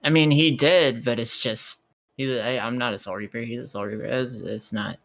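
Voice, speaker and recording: A player acts out a guarded widow in an anime adventure game. The delivery is halting and skeptical, full of puzzled restarts, in an everyday conversational voice. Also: medium pitch, fairly even intonation, a bit bright, lightly acted.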